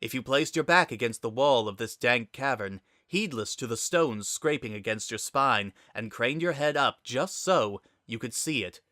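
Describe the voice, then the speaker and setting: A man's voice: medium pitch, animated, normal speed, hardly any pauses, pushed and bright, no echo, studio quality. A man reading a scripted story aloud as a dramatic narrator.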